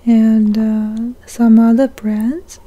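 A woman's voice: a little high, level tone, very slow, mellow, highs cut off. A woman co-hosting a podcast in casual, flirty ASMR chat, playful and teasing, close to the microphone. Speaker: podcast co-host.

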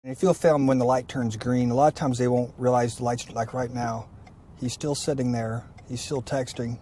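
A man with a mid-range voice talks in a plain, steady, conversational way as a police officer, first describing what he sees from his car and then questioning a driver at the roadside. Clear traffic and outdoor noise sits under the voice throughout. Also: mid-range voice, moderate pace. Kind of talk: narrating a patrol and questioning a driver. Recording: noisy road-side microphone audio.

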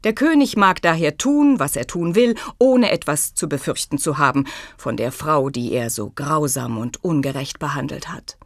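A woman's voice: deep voice, expressive, moderate pace, few pauses, no echo, clean studio sound. An actress playing a clever, self-possessed young woman in a German audio drama, poised, formal and faintly teasing. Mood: resolute, gracious.

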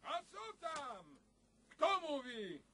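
Slow, gloating villain. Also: male voice, very high voice, sing-song, pushed and bright, exaggerated voice. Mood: scornful, commanding. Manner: mocking, theatrical, drawn out. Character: gloating, vengeful castle villain with mad laughter. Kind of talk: radio drama acting.

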